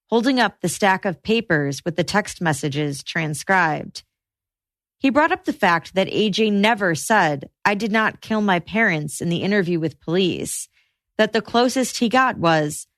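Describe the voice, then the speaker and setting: A woman hosting a podcast, reading scripted true crime narration in a measured, matter-of-fact way. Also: mid-range voice, fairly bright tone, no room sound, studio quality.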